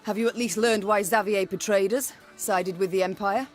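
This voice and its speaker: A woman's voice: medium pitch, steady tone, normal speed, close to an everyday voice. An actress playing a proud, blunt warrior queen in a video game, speaking in a formal, commanding way. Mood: dry, commanding. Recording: music behind the voice.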